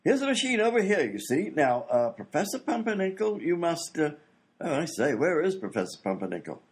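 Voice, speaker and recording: A man's voice: fairly high pitch, big pitch swings, fairly quick. A man playing a fussy, absent-minded British doctor and inventor in a comedy radio play, blustering and full of hesitations. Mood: dithering, polite.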